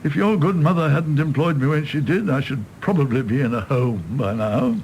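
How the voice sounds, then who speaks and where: A man's voice: fairly high pitch, animated, moderate pace, a little mellow, a little hiss or hum, low-quality recording. A man with an English accent playing a rueful, tippling elderly doctor in a radio drama, wry and rambling. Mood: wry, weary. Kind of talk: stage play performed as broadcast drama.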